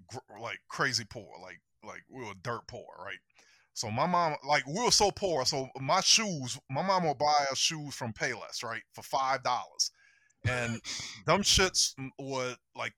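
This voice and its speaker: A man's voice: medium pitch, no echo. An American man chatting casually and telling personal stories in slangy, informal speech. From New Orleans, United States. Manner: slangy, animated, peppered with "like". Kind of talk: casual chat and personal storytelling.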